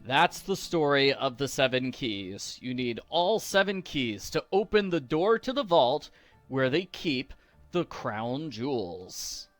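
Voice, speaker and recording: A man's voice: medium pitch, sing-song, slow pace, a bit bright, no echo, soft background music. A man doing playful game walkthrough commentary with a goofy, mock-serious manner.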